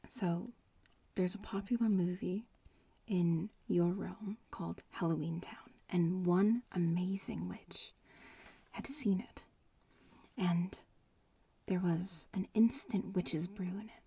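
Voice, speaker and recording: A bratty young witch-in-training, played by a woman, whispers and murmurs in an ASMR roleplay. The voice is very breathy and full of fry, drawling slowly and nonchalantly, as if explaining things to a classmate. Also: on the low side, mellow, dry recording, full character voice.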